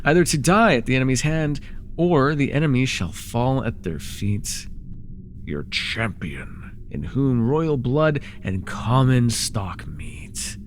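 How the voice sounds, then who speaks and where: A man playing a sly, haughty, taunting dark-magic villain ruler in a fantasy audio roleplay, with a mocking, drawling delivery. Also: mid-range voice, sing-song, slow, a little hiss or hum. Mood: solemn, reciting.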